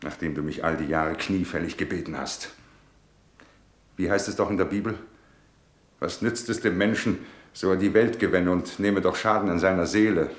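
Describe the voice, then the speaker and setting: A man's voice: deep voice, moderate pace, roomy sound, lightly acted. An actor playing a bitter, disillusioned middle-aged businessman husband in a German radio drama, with a sarcastic, theatrical way of talking. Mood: accusing, agitated. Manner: sarcastic, rhetorical, theatrical delivery.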